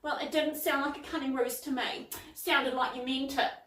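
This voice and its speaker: A woman voicing a rude, quick-tempered housekeeper who talks bluntly, recorded in a roomy space at some distance from the mic. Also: sits high, level tone, bright, forceful tone, pitched above the performer's own voice. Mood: resentful. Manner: snarling, blunt.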